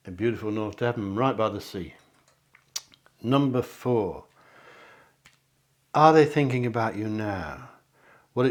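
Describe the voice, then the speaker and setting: A man giving a card reading straight to the viewer, repeating phrases for emphasis as a psychic host. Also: on the low side. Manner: repeats phrases for emphasis, addresses listener directly. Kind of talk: tarot reading addressed to viewers. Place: at home.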